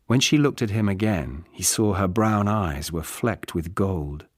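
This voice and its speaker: A man's voice: low-pitched, animated, a little slow, dry recording. A British man reading literary fiction as an audiobook narrator in a studio. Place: dry studio.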